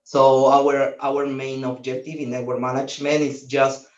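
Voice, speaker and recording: A man's voice: medium pitch, level tone, moderate pace, darkish tone. Man on a distant, roomy call. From Chile. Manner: hesitant, searching for words. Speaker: alumnus guest. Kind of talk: casual remote testimonial. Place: office. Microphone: video call. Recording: roomy, distant, dull video-call audio.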